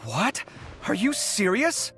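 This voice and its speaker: Animated, earnest young architect. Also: male voice, sits high, slow, faint music behind, exaggerated voice. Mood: excited. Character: earnest, expressive young male architect, good-natured but easily carried away. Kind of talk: video game voice acting.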